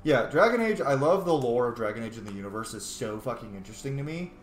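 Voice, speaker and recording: Male gaming host: very lively intonation, informal and expressive, echoey room sound. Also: mid-range voice, quick pace. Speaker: gamer, video host. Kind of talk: casual playthrough commentary with roleplayed replies. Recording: echoey room, faint background noise.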